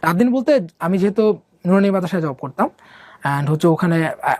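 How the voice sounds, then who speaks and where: A man's voice: high-pitched, expressive, moderate pace, darkish tone, no room sound. A young man from Bangladesh telling his own life story in a casual, rambling way, with many fillers and English words mixed in.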